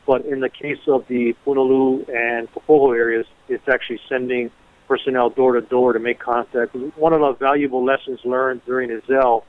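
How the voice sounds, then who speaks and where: A man speaking as an emergency management official, giving a measured, deliberate press briefing over a conference call. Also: medium pitch, no room sound. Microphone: telephone. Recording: muffled narrow-band conference phone line.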